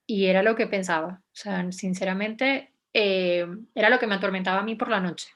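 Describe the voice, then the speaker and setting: A young woman from Venezuela speaks as a journalist guest in an interview. Her voice sits at an ordinary middle pitch, and she pauses and restarts often as she thinks her answers through. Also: fairly even intonation. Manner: hesitant, many restarts and fillers, reflective.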